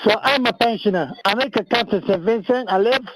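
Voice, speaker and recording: A man's voice: sits high, fairly quick, a bit bright, a little hiss or hum, poor audio. An older man with a Bajan accent, chatting casually as a caller to a radio show. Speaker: caller, pensioner. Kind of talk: casual phone-in chat.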